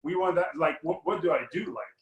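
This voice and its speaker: A man's voice: fairly high pitch, fairly even intonation, a little fast. Rambling Lithuanian-born man, echoey room. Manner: rambling, many fillers and restarts. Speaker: guest money mindset coach. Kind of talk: casual interview talk.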